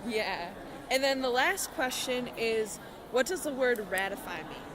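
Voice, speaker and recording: A woman's voice: fairly high pitch, expressive, measured pace. A young woman interviewing people in a casual, chatty street quiz, informal and giggly in manner. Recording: busy public crowd noise behind.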